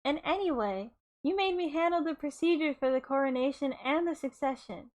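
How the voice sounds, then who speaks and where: A woman puts on an exasperated young woman from a light novel. She is high-voiced, overworked and indignant, scolding with raised force. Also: brisk, lightly acted. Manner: shouty, indignant.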